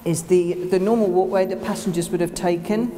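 A middle-aged woman giving an informal guided tour, explaining as she walks, with slightly halting phrasing. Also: fairly low pitch, steady tone, dark tone, a little hiss or hum. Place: indoors in disused underground station, tunnels and train carriage.

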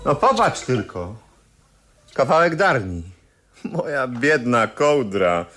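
A man acting in a radio play as a wry young bohemian painter, anxious and plaintive, with colloquial, unhurried speech. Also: mid-range voice, very animated, music in the background. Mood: drowsy grumbling.

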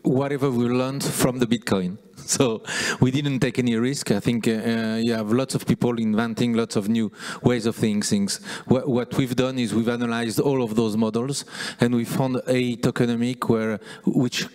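A man's voice: medium pitch, steady tone, normal speed, few pauses, a little mellow. A man on a conference panel speaking confidently and assertively into a handheld mic. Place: conference stage.

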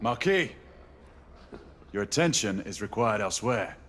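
An actor playing a stern, dry-witted British knight soldier in middle age, with a British accent, in a video game. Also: male voice, lowish voice, sing-song, unhurried, a bit bright, a little hiss or hum. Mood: dry, clipped. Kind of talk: video game cutscene acting.

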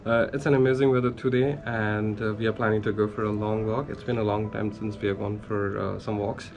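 A man co-hosting a casual travel vlog, calmly explaining each step of the outing. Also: on the low side, fairly quick, muffled recording. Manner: easygoing, explains plans step by step. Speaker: vlog co-host. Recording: loud background music under voice. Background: music.

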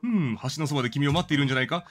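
A man's voice: a little high, rapid-fire, natural voice. A man voicing a fretful, self-important middle-aged troll father from a storybook game, talking in a formal, fatherly way. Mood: fretful, pompous.

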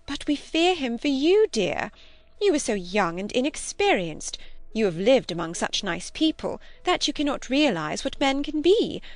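A woman's voice: high-pitched, big pitch swings, moderate pace, dry recording, voice pushed up. A woman voicing a prim, moralizing older spinster cousin, emphatic and plaintive, in an audiobook. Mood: alarmed, pressing. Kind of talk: audiobook character acting.

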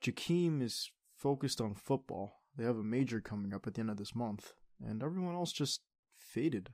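A man playing an affectionate, playful teenage boyfriend in an intimate, soft-spoken ASMR roleplay. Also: mid-range voice, lively intonation, normal speed, dry recording. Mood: sincere, casual.

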